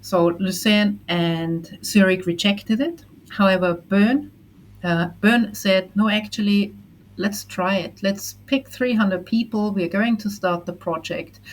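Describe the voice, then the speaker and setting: A woman's voice: medium pitch, low-quality recording. A woman presenting at an online community meeting, talking earnestly and upbeat, with frequent restarts. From not Switzerland. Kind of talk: casual online community talk.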